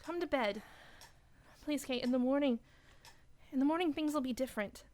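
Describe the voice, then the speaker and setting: Woman playing a high-voiced, frightened, pleading young woman. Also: darkish tone, close to an everyday voice. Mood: anxious soothing. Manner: anxious, pleading, halting and repetitive. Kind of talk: radio play acting.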